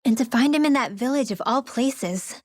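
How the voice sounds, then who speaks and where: An actress playing a guarded, wry young rebel in a video game cutscene. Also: female voice, on the high side, expressive, moderate pace, dry recording, clean studio sound. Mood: wry, matter-of-fact. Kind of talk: dramatic video game voice acting.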